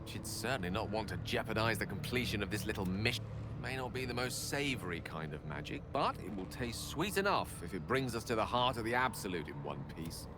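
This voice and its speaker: An actor playing a learned, wordy wizard in a video game, eloquent and musing aloud. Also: male voice, lowish voice, lively intonation, a little fast, lightly acted. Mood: resolute. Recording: game audio with clear background noise.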